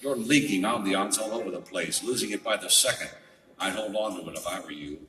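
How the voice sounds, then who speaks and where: An actor playing a cynical, wisecracking private detective in a noir radio drama, with a wry, tough-talking delivery. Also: male voice, sits low, brisk, lightly acted. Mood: tough, defiant. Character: wisecracking hardboiled male private detective, cynical and self-aware. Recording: roomy hall, distant mic, music behind.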